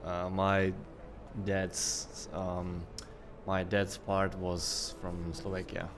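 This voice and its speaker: A young man with a Czech accent, chatting casually while streaming a game, halting and relaxed. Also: sits low, slight background noise. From Czech Republic. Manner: relaxed, halting, many fillers. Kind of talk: casual gameplay chat.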